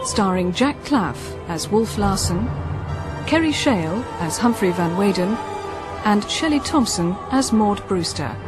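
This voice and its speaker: A woman's voice: medium pitch, expressive, a little slow, over music. A woman announcer reading scripted opening credits for a radio drama.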